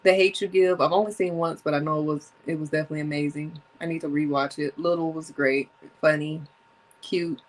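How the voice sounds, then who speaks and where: A woman's voice: low voice, a little fast, low-quality recording. A woman in her thirties hosting her own podcast, talking casually and animatedly and laughing often. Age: 30s. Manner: chatty, animated, laughs often, sips drinks. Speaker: podcast host. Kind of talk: casual solo podcast chat.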